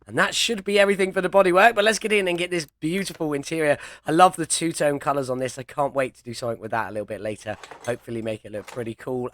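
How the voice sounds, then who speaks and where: A young man chats through his gameplay step by step, sounding a little under the weather from a chest cold. His voice sits fairly high and has a bright, forward ring. The recording is close and dry, with no room sound around him. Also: no echo. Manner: chatty, talks himself through each step. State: ill with a chest infection and cold. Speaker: gameplay commentator. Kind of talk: casual gameplay commentary.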